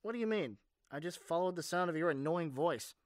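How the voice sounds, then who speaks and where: Guy playing young hero in asmr roleplay, kinda high voice, casual n earnest, a bit cocky, close dry sound. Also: lively intonation, a little fast, no echo, close to an everyday voice. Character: caring, cocky young male superhero. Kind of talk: amateur ASMR audio roleplay.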